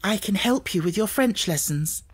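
Man voicing an earnest little girl, raised pitch. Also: lively intonation, normal speed, slightly rough. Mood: warm, glad. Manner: earnest, reflective, storybook speech. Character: earnest, imaginative, brave little rich schoolgirl.

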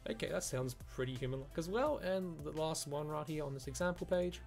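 Guy doing a casual software review, talks fast and rambles, bit of music behind. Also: on the high side, creaky. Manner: rambling, peppered with "you know" and "like". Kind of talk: casual screen-recorded review. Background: background music.

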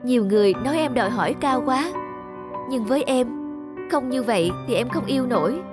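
A woman acting as a courteous, choosy young lady in a cartoon. She speaks in a fairly high voice over loud music. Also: lively intonation, fairly quick, lightly acted. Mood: firm, polite. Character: polite, exacting young woman turning down a suitor. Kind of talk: animated cartoon dubbing.

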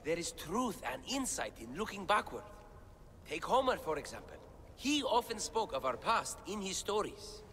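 Here is an earnest young scholar of ancient things in a video game. His pitch sits a little high and swings with eagerness as he explains. The voice rings in a reverberant chamber. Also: big pitch swings, normal speed, fairly bright tone, slight background noise. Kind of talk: video game cutscene acting.

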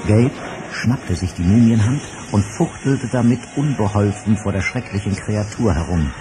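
A man's voice: lowish voice, moderate pace. A man narrating a children's adventure audio drama as scripted, dramatic storytelling. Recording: music bed under narration.

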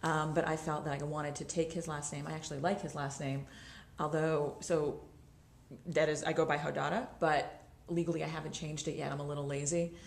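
A middle-aged woman chatting as a guest, relaxed and self-deprecating. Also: sits low, brisk, vocal fry, reverberant. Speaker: guest mom. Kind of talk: casual chat.

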